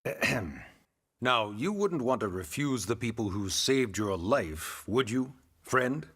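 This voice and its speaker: A man playing a wry, formal, gently pushy government secretary in a video game cutscene, courteous and smug. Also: medium pitch, big pitch swings, slow pace, slightly rough, exaggerated voice. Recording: loud music behind the voice.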